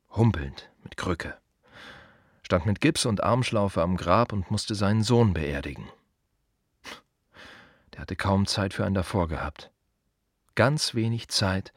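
An actor playing a blunt, brooding gravedigger in a radio drama monologue, speaking colloquially in short, repeated, emphatic phrases. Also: male voice, low-pitched, animated, unhurried, long pauses, no room sound, clean studio sound. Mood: somber. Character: blunt, brooding male gravedigger musing on life and death.

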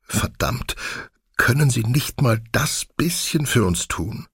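Male narrator as a desperate young woman in a literary audiobook, gravelly, begging in earnest, close dry sound. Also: mid-range voice, expressive, takes it slowly, a bit bright, no echo, exaggerated voice. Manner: pleading, deadly serious.

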